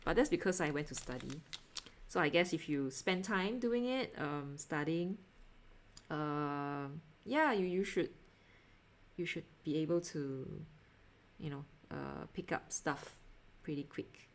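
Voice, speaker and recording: A young adult woman with a Singaporean accent, chatting casually on a livestream as she draws, in a halting, self-deprecating, giggly manner. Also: sits low, expressive, slight background noise. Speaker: art livestreamer. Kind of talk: casual livestream chat while drawing.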